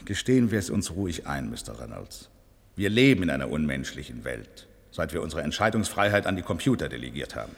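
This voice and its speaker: An actor in a German radio play playing a resigned, bitter judge who speaks formally and with emphatic, measured delivery. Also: male voice, rumbling low, sing-song, creaky, breathy edge, heavily acted. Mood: bitter irony. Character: resigned judge, candid and bitter about computerized justice. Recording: reverberant hall sound.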